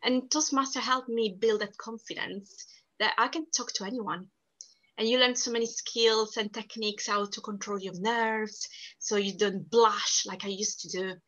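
Bright-voiced Italian woman, earnest. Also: fairly high pitch, steady tone, muffled recording. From Sicily, Italy. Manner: earnest, emphatic, quick restarts. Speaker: podcast guest. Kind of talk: casual interview talk.

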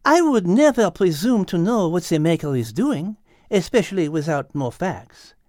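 A man's voice: high voice, very animated, normal speed, a little mellow, no room sound, studio quality, voice pushed up. A man voicing a refined, knowledgeable female cat with a French accent and precise, formal wording, in an audiobook. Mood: composed, reasoning. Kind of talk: audiobook character acting.

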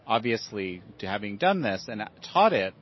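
A man interviewing a guest, asking questions in a halting way as he searches for words. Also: on the low side, sing-song, slight background noise. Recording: dull, lo-fi sound.

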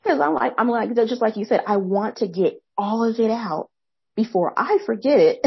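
Young woman podcast host, soothing husky voice, fast and eager, rephrasing often. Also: dry recording, dull audio. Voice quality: soft, soothing low voice. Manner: fast, excited talker who pauses to rephrase. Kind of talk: reflective interview commentary.

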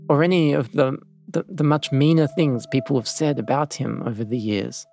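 A man delivers scripted documentary narration as host, measured and reflective in his delivery. His voice sits at a middling pitch, with faint music running behind it. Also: creaky, a little mellow.

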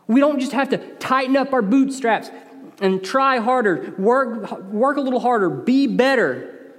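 A man's voice: very high-pitched, lively intonation, a little slow. A man with an East Tennessee accent preaching a sermon, earnest and conversational, with emphatic rises. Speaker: pastor. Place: church sanctuary. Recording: long reverb of a large hall.

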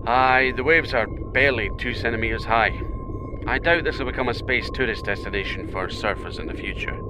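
A fretful, plain-spoken captain in a sci-fi audio drama. His mid-pitched voice swings very widely as he keeps stern watch, with clearly audible craft noise around him. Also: huge pitch swings, brisk. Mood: watchful, dry. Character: cautious, fretful male mission captain monitoring by radio.

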